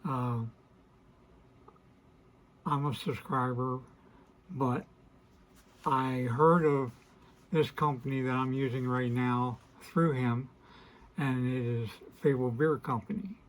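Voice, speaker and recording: A man in his sixties with a hoarse, weakened voice, hosting a casual to-camera vlog and talking in a hesitant, apologetic way with many fillers and restarts. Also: mid-range voice, steady tone, slow pace, pauses often, rough recording. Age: 60s. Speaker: host of a beard channel.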